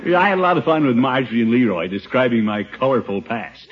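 A man's voice: mid-range voice, animated, fast talker, noisy background, lightly acted. Pompous, swooping radio-comedy uncle played by a man. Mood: chummy, amused. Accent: American. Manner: pompous, theatrical, blustering. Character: pompous, blustering middle-aged bachelor uncle given to tall tales. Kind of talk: 1950s radio situation comedy acting. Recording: dull old 1950s radio recording.

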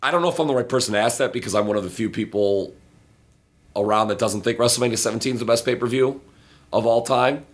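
A man co-hosting a late-night podcast in a hotel room, his voice hoarse and raspy, giving emphatic opinions in casual chat. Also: medium pitch, quick pace. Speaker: podcast co-host. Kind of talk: casual chat about a wrestling show.

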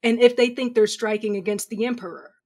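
A woman's voice: fairly high pitch, a little fast, darkish tone. A woman playing a blunt, sardonic comrade of a Sith in an audio drama, confrontational and exasperated. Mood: exasperated, challenging.

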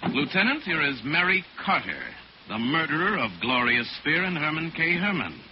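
Composed radio hero, noisy old recording. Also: male voice, a little high, sing-song, normal speed, lo-fi sound. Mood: composed, explanatory. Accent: American. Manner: composed, formal, precise. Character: calm, shrewd mystic crime-fighting hero, a man. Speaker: lead actor playing the hero detective. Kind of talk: 1940s radio drama acting.

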